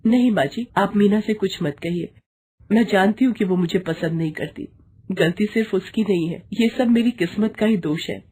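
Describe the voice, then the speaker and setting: A woman's voice: mid-range voice, moderate pace, dark tone, slight background noise, natural voice. A woman voicing a sad, dutiful young daughter-in-law, hesitant and submissive, in a moral story. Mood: resigned.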